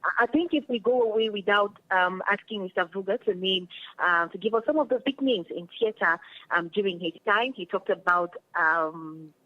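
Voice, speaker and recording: A radio interviewer, asking questions in a measured, hesitant way. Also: medium pitch. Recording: slightly dull telephone-like radio audio.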